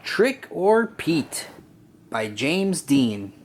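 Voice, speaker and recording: A storybook narrator reading aloud for children in an expressive, deliberate way. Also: medium pitch.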